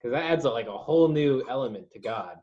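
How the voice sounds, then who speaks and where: Laughing man bantering, lively pitch. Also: muffled recording. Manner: laughs often, quick banter. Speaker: co-host. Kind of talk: casual live-stream chat.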